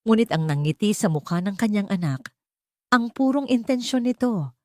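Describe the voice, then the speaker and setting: A woman's voice: medium pitch, lively intonation, normal speed, dark tone, no room sound, studio quality. A woman narrating a scripted, dramatic audio story as the storyteller.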